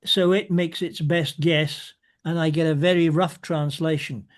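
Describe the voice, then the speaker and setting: A man's voice: fairly high pitch, steady tone, measured pace. A man in a casual group discussion who translates plays and talks in a chatty, rambling way with many restarts. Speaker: play translator and company organizer.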